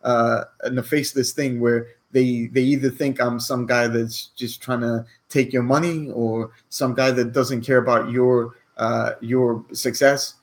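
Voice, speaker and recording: A man's voice: medium pitch, level tone, unhurried, hardly any pauses. Guy in his 40s, rambling earnest coach talk to camera, keeps saying okay. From Cyprus. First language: Greek. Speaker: online business coach.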